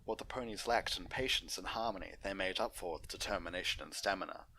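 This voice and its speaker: A man narrates an amateur story reading in a low-pitched voice. His tone is bright and forceful, and he delivers the prose as a host reading aloud to listeners. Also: fast talker, a little hiss or hum. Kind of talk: amateur fan fiction narration.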